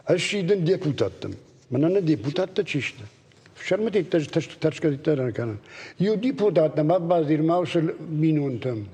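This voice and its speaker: A native Ossetian-speaking man with a raspy, gravelly voice, talking insistently and off the cuff, repeating himself. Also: fairly high pitch, animated, moderate pace, warm tone. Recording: long reverb, echoey space.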